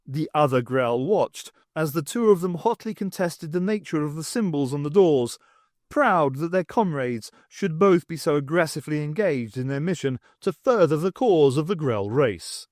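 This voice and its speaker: A man reading scripted fiction as an expressive audiobook narrator. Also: a little high, moderate pace, dry recording, clean studio sound.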